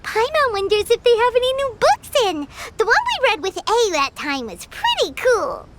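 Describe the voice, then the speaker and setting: A tiny childlike game fairy, with an extremely high voice that swoops with excitement. The character exclaims like an eager little companion, over loud noise. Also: female voice, normal speed, heavily acted. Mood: curious. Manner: refers to self in third person, exclaiming. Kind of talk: English video game voice acting. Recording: noisy game capture with loud background sound.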